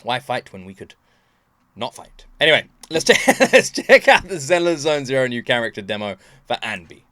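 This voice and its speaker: A man's voice: mid-range voice, big pitch swings, normal speed, a bit bright, dry recording. A man hosting a casual reaction video, rambling expressively and repeating himself for emphasis. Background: game soundtrack.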